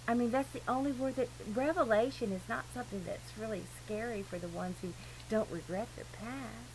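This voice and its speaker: A woman's voice: a little high, fairly quick, darkish tone. A woman giving an informal, rambling religious monologue in an earnest, emphatic, digressive way. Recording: noisy amateur recording with clear hum.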